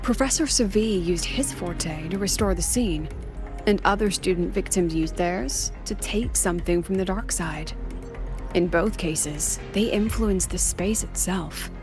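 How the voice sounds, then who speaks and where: A woman playing a composed, shrewd academy scholar in a video game, speaking in a measured, explanatory way. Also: on the low side. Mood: analytical. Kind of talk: video game dialogue acting. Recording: game music behind. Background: game music.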